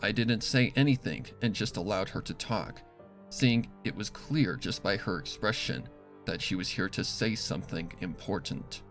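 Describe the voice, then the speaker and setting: Low-voiced man narrating dark fiction. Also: moderate pace, soft background music. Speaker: fan fiction reader. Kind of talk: dramatic scripted first-person story narration.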